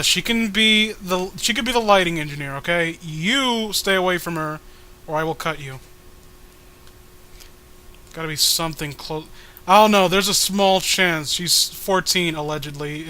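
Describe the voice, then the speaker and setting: Male co-host, sardonic and profane; bright, edgy voice, casual comic riffing. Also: on the high side, normal speed, clean tone. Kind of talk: casual riffing and character reading.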